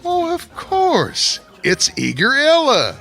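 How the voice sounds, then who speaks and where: An actor playing a smug, sneering gossip author in a radio mystery, sarcastic, theatrical and mocking. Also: male voice, very high-pitched, wildly swooping pitch, slow pace, gravelly, bright, forceful tone, slightly rough, faint music behind, full character voice. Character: smug, sneering male gossip author taunting old acquaintances. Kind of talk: radio mystery drama acting.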